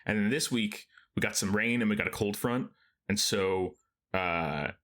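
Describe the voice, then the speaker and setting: A man's voice: lowish voice, measured pace, a bit bright. A young man from Texas co-hosting a podcast, chatting casually with his brother, easygoing and full of fillers and restarts. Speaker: podcast co-host. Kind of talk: casual chat between brothers.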